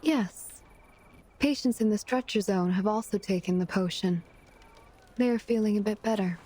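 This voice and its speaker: A woman playing a calm, reserved healer in a video game, speaking with restraint. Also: mid-range voice, normal speed, lightly acted. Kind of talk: scripted video game voice acting.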